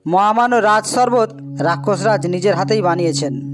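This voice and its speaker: A man's voice: sits high, moderate pace, music in the background, lightly acted. A man voicing a deferential, obedient palace maidservant in a fairy-tale cartoon, formal in manner. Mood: respectful. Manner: formal, servile.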